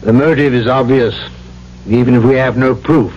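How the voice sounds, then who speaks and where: An actor playing a grave missionary priest in an old-time radio drama, speaking in a formal, deliberate way. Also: male voice, mid-range voice, steady tone, lightly acted. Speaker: actor in supporting part. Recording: muffled old radio recording with faint hum.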